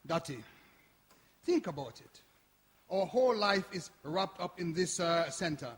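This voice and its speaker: An actor playing a weary older grandfather with a halting way of talking, in a live radio play. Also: high-pitched, lively intonation, slightly rough, exaggerated voice. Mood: pleading, frustrated. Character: Arthur, worried, weary older grandfather, centre owner longing for Jamaica. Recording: reverberant hall.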